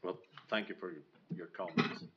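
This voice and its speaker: A man chairing a county meeting, brisk and procedural as he calls the next speaker. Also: low voice, steady tone, bright, forceful tone, breathy edge. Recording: dull lo-fi meeting recording.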